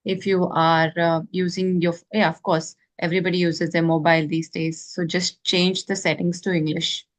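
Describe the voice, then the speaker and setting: A woman interviewing a learner and giving clear, encouraging advice on learning English. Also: lowish voice, level tone, moderate pace.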